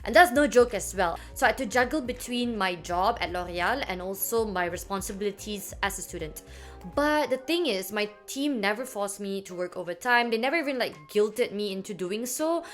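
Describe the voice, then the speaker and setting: A woman's voice: medium pitch, animated, moderate pace, faint music behind. A young woman talking casually to camera about her work experience, filling her speech with "like" and "um". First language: not French. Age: young adult. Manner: chatty, many "like" fillers. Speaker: vlogger.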